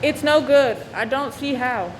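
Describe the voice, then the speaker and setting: A woman's voice: sits high, unhurried. A woman playing a distraught, despairing wife in a radio drama. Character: distraught, despairing young wife who has killed. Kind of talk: radio play acting. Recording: echoey room with faint noise.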